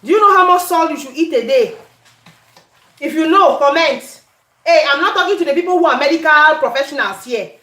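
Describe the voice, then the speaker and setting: A woman with a Cameroonian accent chatting casually to viewers as she cooks, animated and conversational, often repeating her questions and phrases. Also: high-pitched, a little fast. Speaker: cooking vlogger. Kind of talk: casual live chat while cooking. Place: indoor home kitchen. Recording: echoey room.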